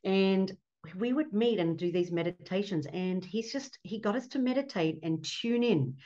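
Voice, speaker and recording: A middle-aged woman with an Australian accent talks over a dull, lo-fi video call line from her home office. She speaks earnestly and warmly as a guest, often laughing as she explains things. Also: mid-range voice, moderate pace. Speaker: fertility doctor guest. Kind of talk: casual interview on a video call. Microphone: laptop webcam mic on a video call.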